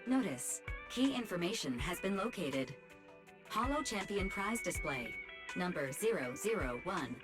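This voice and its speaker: A woman voicing a formal female AI assistant in a video game, who makes flat announcements. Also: fairly low pitch, unhurried. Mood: announcing. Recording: game audio under music.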